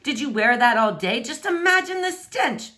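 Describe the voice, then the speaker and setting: A woman's voice: high-pitched, sing-song, sharp tone, voice pushed up, full character voice. A woman voicing a vain, pompous prince in a rhyming storybook, haughty, theatrical and easily outraged. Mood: scornful outrage. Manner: haughty, theatrical, exclaiming, drawn-out emphasis. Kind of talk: storybook read-aloud in rhyming verse.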